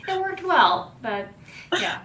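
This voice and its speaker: A woman's voice: very animated, slow, fairly bright tone. Laughing woman host in an echoey room. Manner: affirming, laughs often, many back-channel replies. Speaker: podcast host. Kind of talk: casual podcast conversation. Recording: roomy echo with faint rumble.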